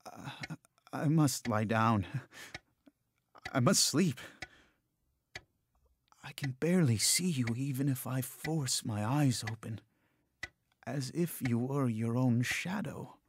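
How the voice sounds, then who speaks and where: An actor playing a weary, nervous stage actor who talks hesitantly and stammers. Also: male voice, medium pitch, takes it slowly, pauses often, slightly rough, no room sound, studio quality. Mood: uneasy. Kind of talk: audio drama acting.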